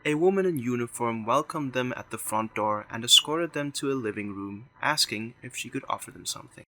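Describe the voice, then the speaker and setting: A man's voice: mid-range voice, expressive, brisk, bright, forceful tone. A man reading scripted story narration in the third person for an audio drama.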